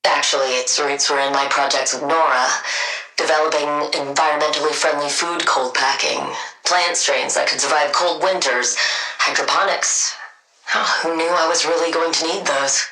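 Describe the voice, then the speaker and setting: Low-voiced haughty supervillain scientist. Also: female voice, normal speed, reverberant, rough recording. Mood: measured. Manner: deadpan, sardonic, precise scientific wording. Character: brilliant, haughty female scientist supervillain, dry and impatient. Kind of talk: video game voice acting.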